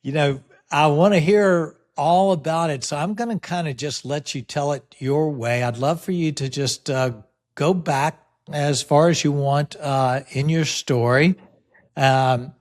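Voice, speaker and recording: A male podcast host leads a relaxed interview. He talks slowly and leaves plenty of pauses and "uh" fillers. His voice sits fairly high for a man. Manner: unhurried, friendly, frequent "uh" fillers.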